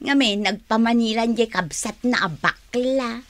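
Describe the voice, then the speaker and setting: A woman's voice: a little high, unhurried, fairly bright tone. An actress with an Ilocano accent playing a whiny, tattling woman in a comedy radio drama. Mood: complaining. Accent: Filipino, Ilocano.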